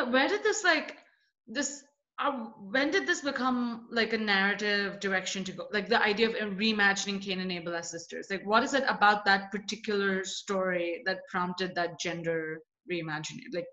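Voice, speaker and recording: A young woman interviewer asking searching, halting questions full of fillers, heard over a video call. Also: medium pitch, steady tone, sharp tone, reverberant. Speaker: interviewer, poet. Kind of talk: casual interview. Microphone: laptop webcam on video call. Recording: dull lo-fi video call audio.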